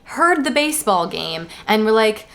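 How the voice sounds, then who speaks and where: Bright-voiced woman recapping a book. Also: a little high, very animated, normal speed, creaky. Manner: playful, mimics characters in mock-dramatic voices. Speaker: podcast co-host. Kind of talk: casual book recap with dramatic read-aloud.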